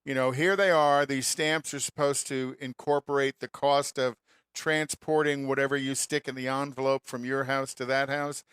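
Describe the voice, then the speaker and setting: A man's voice: mid-range voice, little pitch movement, normal speed, fairly bright tone, smooth, no echo, clean studio sound. An American man co-hosting a radio show in casual, bantering conversation.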